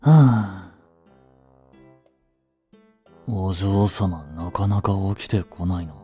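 A stern, overprotective young butler voiced by a man in a romance voice drama, speaking in polite, formal phrases. He talks slowly and earnestly, with a mellow, darkish tone. Faint music plays underneath. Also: deep voice, slightly rough. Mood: regretful. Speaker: voice actor. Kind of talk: Japanese voice drama acting.

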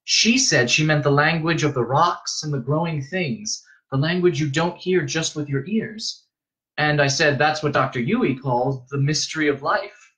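A man's voice: on the high side, moderate pace, fairly bright tone, voice pushed up, lightly acted, poor audio. A man voicing an earnest, troubled teenage boy of noble birth, questioning and a little hesitant.

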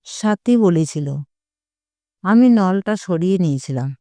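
A woman reading a literary short story as a scripted narration, in a measured, deliberate storytelling manner. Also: on the low side, animated, dark tone, no echo, studio quality.

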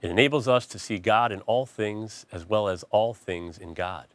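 A man's voice: fairly low pitch, moderate pace, no room sound. A middle-aged man, a priest hosting a TV interview show in a studio, reading a scripted intro and then asking conversational questions with small restarts, on a lapel mic. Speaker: priest host.

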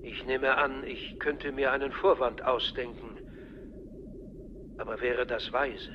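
A man's voice: mid-range voice, fairly even intonation, natural voice. Man as a fatherly older mentor, dull sound. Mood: soothing. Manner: measured, paternal. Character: fatherly, patient, subtly manipulative older male mentor. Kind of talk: radio play acting. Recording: dull sound, clearly noisy ambience.

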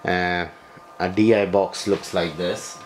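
A man presenting a hands-on equipment tutorial, speaking off the cuff in halting, broken phrases with frequent hesitations. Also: sits low, steady tone, low-quality recording. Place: small bedroom, indoors. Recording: home video with noticeable background noise.

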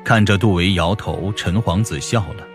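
A man narrating a fantasy novel as a scripted audiobook, in a dramatic, deliberate storytelling manner. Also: low-pitched, soft background music.

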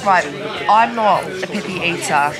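A woman's voice: on the low side, a little slow. A young woman in her twenties talking frankly with a friend in a busy restaurant. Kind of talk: casual chat. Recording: loud music and crowd behind.

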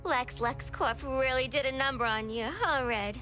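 A woman's voice: sits high, moderate pace, sharp tone, soft background music. A woman playing a playful, unhinged villainess in a video game, flippant and cutesy in manner. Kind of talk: video game cutscene acting.

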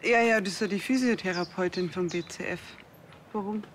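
An actress playing a plain-spoken, puzzled and defensive widow with a Bavarian accent, in naturalistic TV drama dialogue. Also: female voice, fairly low pitch, a little fast, a little hiss or hum, lightly acted.

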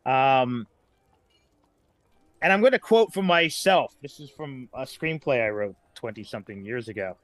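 A man's voice: medium pitch, animated, a little slow, fairly bright tone, no echo. A middle-aged man talking casually as a writer guest on a podcast.

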